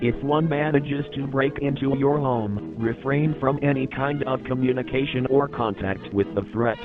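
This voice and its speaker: A man's voice: medium pitch, close to an everyday voice. A man as a grave emergency broadcast announcer. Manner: measured, formal warning delivery. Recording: muffled lo-fi broadcast with music.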